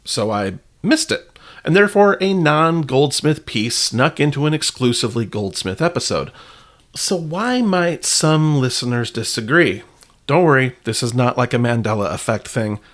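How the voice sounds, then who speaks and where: A man's voice: medium pitch, expressive, moderate pace, fairly bright tone. A man hosting a podcast with casual, partly scripted commentary in a playful, self-deprecating manner. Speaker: podcast host.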